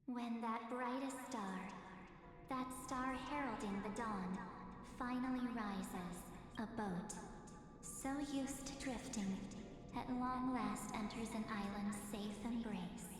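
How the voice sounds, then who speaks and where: Solemn mystery voice calling a traveler, slow and poetic, big roomy reverb, game music loud behind. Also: female voice, on the high side.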